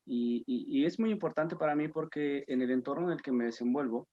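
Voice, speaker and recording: A man's voice: mid-range voice, brisk, no room sound. Man, dry muffled call audio. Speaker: class participant. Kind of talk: informal spoken self-introduction. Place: video call.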